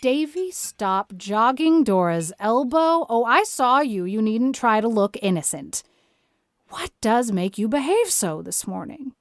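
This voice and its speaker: A woman's voice: fairly high pitch, sing-song, no room sound, clean studio sound. Stern, plain-spoken older country woman. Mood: scolding. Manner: brisk, scolding, plain-spoken, opinionated. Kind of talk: audio drama dialogue.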